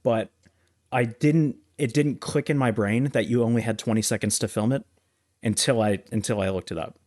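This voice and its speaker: A man's voice: mid-range voice, steady tone, normal speed, darkish tone, no echo, studio quality. A man co-hosting a film review podcast, talking casually about movies. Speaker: podcast co-host reviewing films.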